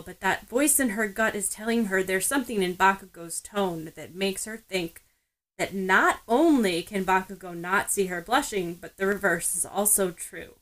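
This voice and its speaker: A woman's voice: mid-range voice, a bit bright, rough recording. A woman narrating fan fiction expressively, as in an audiobook. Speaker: podfic reader.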